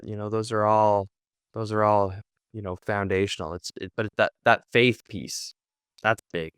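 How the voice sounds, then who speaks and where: A man with a clean, resonant and fairly low voice speaks as a podcast guest. He is earnest and reflective, circling back to rephrase his points in a conversational flow. The sound is dry, with very little room in it. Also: fairly even intonation, moderate pace, fairly bright tone, clean tone, no room sound. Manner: earnest, repeats and rephrases points, often says "you know". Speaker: podcast guest, content creator. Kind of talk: reflective conversational interview answers.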